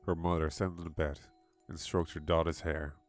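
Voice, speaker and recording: A man narrating a scripted horror story in a measured, dramatic manner. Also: extremely low pitch, little pitch movement. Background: background music.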